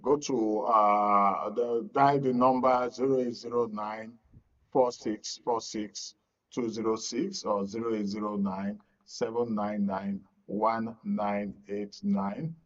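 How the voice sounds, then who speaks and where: Male Nigerian programme host, lower register, very unhurried and deliberate, dull lo-fi audio. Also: steady tone, a bit bright. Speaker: host of a live discussion programme. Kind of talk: live broadcast presenting. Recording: dull, lo-fi broadcast sound.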